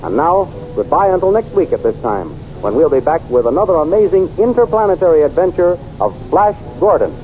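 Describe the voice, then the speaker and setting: A man's voice: very animated, a little fast, few pauses. Dramatic 1930s radio announcer man. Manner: dramatic, booming delivery. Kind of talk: 1930s radio serial narration. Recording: dull 1930s radio transcription with music.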